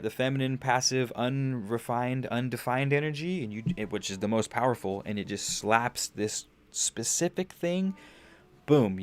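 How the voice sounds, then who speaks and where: A young man hosting a livestream, rambling casually about spiritual ideas, restarting phrases, swearing loosely and getting animated on big points. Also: fairly low pitch. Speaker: podcast host.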